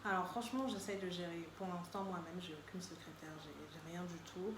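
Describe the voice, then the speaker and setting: A woman's voice: lowish voice, fairly even intonation, fairly quick, a crowd in the background. Young woman interviewee, roomy echoing sound. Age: 20s. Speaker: interview guest, makeup artist. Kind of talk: casual interview answers. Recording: echoey room with faint background noise.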